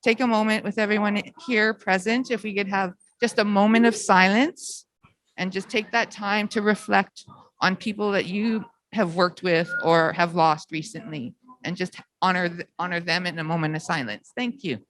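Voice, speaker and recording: A woman's voice: medium pitch, steady tone, moderate pace, fairly bright tone, poor audio. A woman presents at a conference in an informal, slightly hesitant and polite way.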